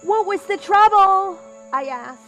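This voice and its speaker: A woman playing a doctor's wife of the 1910s, giving a deliberate eyewitness account as a scripted historical monologue. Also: sits high, animated, fairly bright tone, faint music behind. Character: doctor's wife recounting witnessing a robbers' chase in 1910s.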